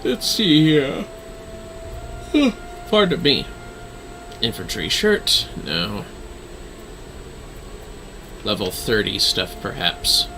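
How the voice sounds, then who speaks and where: A man's voice: fairly low pitch, wildly swooping pitch, extremely slow, pauses often, sharp tone, slight background noise. A man casually chatting as he streams a fantasy online game, musing playfully to himself. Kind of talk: casual gameplay livestream chat.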